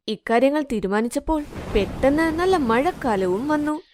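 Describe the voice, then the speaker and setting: A woman's voice: sits high, slight background noise. A woman storyteller reading scripted narration for a moral story.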